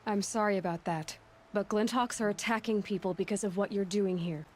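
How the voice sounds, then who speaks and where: An actress playing a self-assured young female hunter in a video game, speaking in a measured, firm, matter-of-fact way. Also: medium pitch, steady tone, a little mellow, close to an everyday voice. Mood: firm, conciliatory. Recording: clear ambient noise under the voice.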